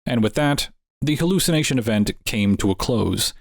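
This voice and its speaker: A man narrating from a script, measured and explanatory. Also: medium pitch, lively intonation, vocal fry, no room sound, clean studio sound. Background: music.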